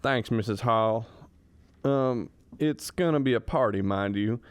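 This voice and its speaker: A man's voice: medium pitch, unhurried, smooth, no echo, lightly acted. A man acting a shy, absent-minded bachelor professor in a radio comedy, with a hesitant, folksy, wry delivery. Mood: sheepish, wry.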